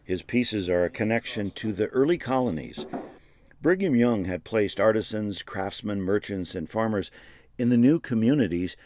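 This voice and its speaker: A man's voice: lowish voice, expressive, moderate pace, a little mellow. A man giving scripted narration for a regional documentary program. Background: music.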